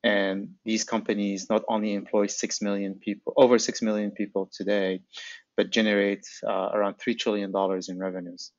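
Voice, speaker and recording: A middle-aged man from Algeria with a fairly low voice, answering as an interview guest. His speech is informal and conversational. The recording is dry and a little dull and lo-fi. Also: flat delivery, normal speed, no room sound. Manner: informal, frequent filler "like". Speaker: podcast guest, startup founder.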